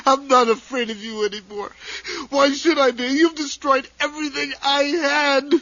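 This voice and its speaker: An actor playing a desperate man possessed by his dead brother in a radio horror drama, halting, pleading and crying out in anguish. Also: extremely high pitch, steady tone, bright, forceful tone, no echo, full character voice. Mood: anguished defiance. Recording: dull, muffled vintage radio recording.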